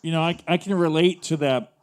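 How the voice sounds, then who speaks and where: A man with an American accent and a fairly high voice hosts an open mic. He chats casually, circling back over phrases as he tells an animated anecdote. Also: a little fast. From United States (Texas). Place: live open mic event at a coffee venue.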